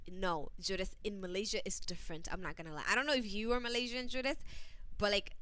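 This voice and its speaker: A woman's voice: mid-range voice, fast, fairly bright tone, dry recording. A woman hosting a casual livestream, chatting away with plenty of "like" and "you know" and reading out viewer messages. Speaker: stream host.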